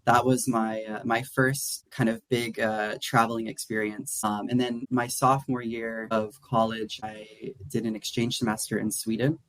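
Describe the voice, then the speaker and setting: A man's voice: on the low side, little pitch movement, normal speed, few pauses, a bit bright, no room sound, poor audio. A young man from Atlanta, talking casually as a guest with lots of restarts and "like" fillers. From Atlanta, USA. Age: young adult. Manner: rambling with frequent restarts and "like" fillers. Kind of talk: casual interview conversation.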